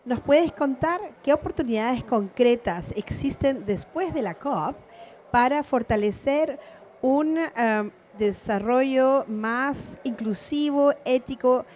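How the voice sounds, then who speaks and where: Woman hosting a formal panel, slightly high voice, bit of event noise behind her. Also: takes it slowly, darkish tone. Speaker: panel moderator.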